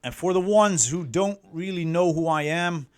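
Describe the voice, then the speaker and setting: A middle-aged man with a Dutch accent presenting as a coach, explaining things to viewers and often pausing to search for words. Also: on the high side, a little mellow, smooth. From Netherlands. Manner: halting, word-searching, self-correcting delivery. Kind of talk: explanatory online talk to viewers.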